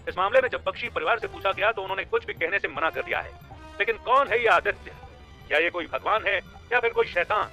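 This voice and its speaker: A man's voice: high-pitched, lively intonation, fast, sharp tone, faint music behind, pitched above the performer's own voice, full character voice. A man voicing a female TV news anchor delivering a sensational, dramatic report in an audio story. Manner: dramatic, heightened.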